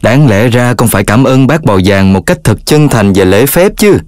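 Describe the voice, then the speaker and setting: A man's voice: mid-range voice, lively intonation, normal speed, darkish tone, no echo, studio quality, lightly acted. Man voicing a patient cartoon dog dad. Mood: patient, instructive. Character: patient instructive dog father. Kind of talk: animated children's cartoon dub. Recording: music under the voice.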